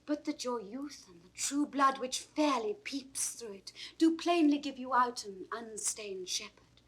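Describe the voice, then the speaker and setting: Woman acting a gentle young shepherdess, high voice, unhurried, lyrical classical verse, British RP, stage drama. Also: natural voice, poor audio. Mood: soft, shy. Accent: British Received Pronunciation. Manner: lyrical, measured, carefully enunciated. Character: gentle, ardent young shepherdess, queen of a country feast.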